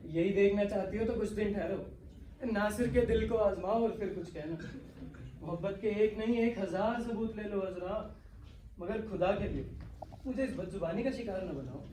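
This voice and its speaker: An actor playing an ardent young servant in love in a stage play, earnest and declamatory with florid romantic delivery. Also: male voice, sits high, normal speed, mellow, natural voice. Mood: pleading, ardent. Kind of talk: Hindi-Urdu stage play acting. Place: stage of a theatre hall. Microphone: far from the actors. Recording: echoey hall, distant mic, faint noise.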